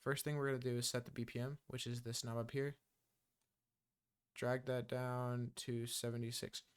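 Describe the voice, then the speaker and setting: A man giving a casual music-software tutorial, talking loosely with many ums and uhs. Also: medium pitch, little pitch movement, moderate pace, clean tone.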